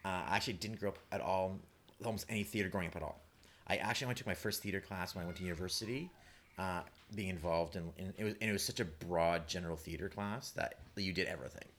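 Low-pitched Canadian man chatting casually. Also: fast talker, a bit bright, slight background noise. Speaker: playwright guest. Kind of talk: casual personal storytelling.

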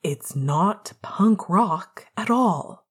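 A woman's voice: mid-range voice, lively intonation, very slow, breathy edge, heavily acted. A woman voicing a grumpy young male punk florist in a storybook reading, dry, sarcastic and exasperated.

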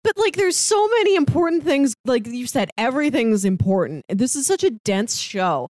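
A woman co-hosting a podcast, chatting casually in an expressive, very informal way. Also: sits high, moderate pace, no echo, clean studio sound. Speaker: podcast co-host.

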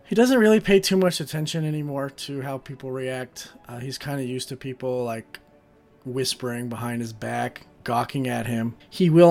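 A man's voice: mid-range voice, gravelly, darkish tone. A young man with a mid-range voice talks casually as a tabletop player. He describes his character in plain interview answers, with music faintly behind him. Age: young adult. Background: background music.

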